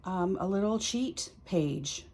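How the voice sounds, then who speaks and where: A woman's voice: medium pitch, expressive, slow pace, a little mellow. A woman talking casually as a tarot reader, explaining things informally and thinking aloud as she goes. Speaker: tarot reader hosting a practice series. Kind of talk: casual explanatory vlog talk.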